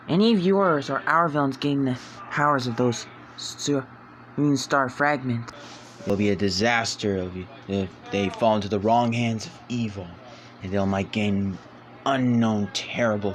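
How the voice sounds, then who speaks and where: A man performing fan-made superhero script lines as an amateur voice actor, with halting, deliberate phrasing. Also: mid-range voice, fairly bright tone, slight background noise.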